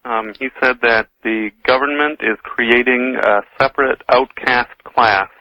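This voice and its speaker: A man calling in to a radio show, giving his opinion informally and a bit haltingly. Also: mid-range voice, fairly even intonation, sharp tone. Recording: muffled lo-fi radio call.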